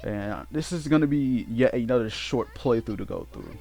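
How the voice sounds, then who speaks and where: A man giving casual, unscripted game commentary in a halting way, often repeating and correcting himself as he goes. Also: medium pitch, warm tone. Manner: halting, repetitive, self-correcting. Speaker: let's play host. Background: game music.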